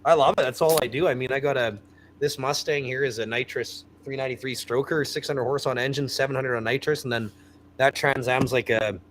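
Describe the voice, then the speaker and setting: A man in his thirties, chatting casually and enthusiastically about building fast cars. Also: medium pitch, fairly even intonation, a bit bright, a little hiss or hum. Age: 30s. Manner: rambling, enthusiastic car talk. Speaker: guest. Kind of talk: casual chat.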